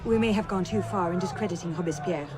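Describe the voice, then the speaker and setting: A woman's voice: on the low side, fast talker, natural voice. An actress playing a determined young noblewoman out for vengeance in a video game, speaking decisively and with command. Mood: calculating. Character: bold, determined young noblewoman revolutionary-era avenger. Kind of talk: video game cutscene acting. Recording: loud music and crowd under dialogue.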